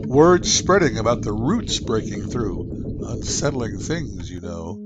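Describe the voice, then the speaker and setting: A woman voicing a sly, ambitious man whose low, smooth tone teases and probes. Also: expressive, measured pace, loud music behind the voice. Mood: probing. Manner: low, silky, teasing. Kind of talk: audiobook-style character voicing.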